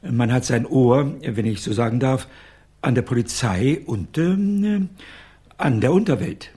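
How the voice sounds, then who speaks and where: Shabby older detective, radio drama, very rough husky voice, obsequious, courtly, halting. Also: male voice, mid-range voice, lively intonation, dark tone. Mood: ingratiating. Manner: courtly, servile, hesitant. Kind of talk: German radio crime drama acting.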